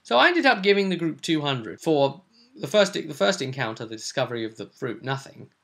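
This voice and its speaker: A man hosting as game master, casually recounting a tabletop roleplay session in an animated storytelling way, often ending on tag questions. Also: fairly high pitch, brisk. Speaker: game master and show host.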